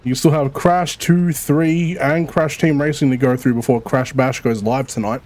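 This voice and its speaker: A man with an Australian Melbourne accent chatting casually while streaming a co-op game, swearing freely and rambling quickly. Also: medium pitch, fairly even intonation, darkish tone. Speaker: streamer playing co-op. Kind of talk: casual gaming chat.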